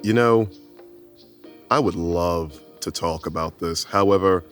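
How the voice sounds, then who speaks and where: A man's voice: deep voice, unhurried, soft background music. A man playing in a tabletop roleplay podcast, talking casually and speaking for his character.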